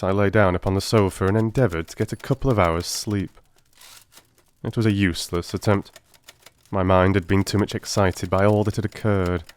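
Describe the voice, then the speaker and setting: Low-pitched man, grave theatrical audiobook narration. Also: a little mellow, smooth. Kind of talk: dramatic first-person scripted narration.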